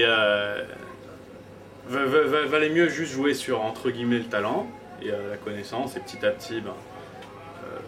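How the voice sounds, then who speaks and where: A French man in his twenties, a DJ guest chatting casually and joking, with lots of hesitant fillers. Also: lowish voice. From France. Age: 20s. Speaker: DJ, interview guest. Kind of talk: casual interview chat. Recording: distant mic, noisy background.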